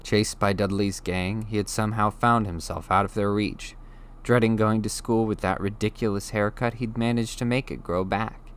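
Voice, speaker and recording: A male audiobook narrator with a fairly low voice. He reads scripted story prose as the teller of the tale. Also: fairly even intonation, normal speed, smooth.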